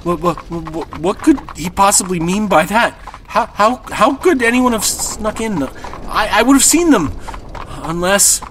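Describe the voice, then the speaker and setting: A man's voice: high voice, animated, measured pace, a bit bright, a little hiss or hum. An actor playing an anxious young man, a nervous, stammering carriage passenger in a horror audio drama. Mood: panicky.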